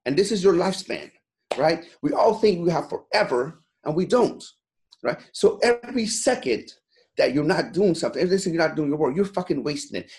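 A man's voice: on the high side, darkish tone. A man in his forties from Iran, talking as an interview guest in a blunt, profane, fired-up way, repeating "you know" constantly. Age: 40s. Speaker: guest, gym owner. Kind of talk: motivational interview talk.

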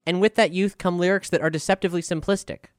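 A man reading a scripted music review as a narrator, with a clear, smooth voice over music. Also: high voice, fairly even intonation, fast, dry recording, clean studio sound.

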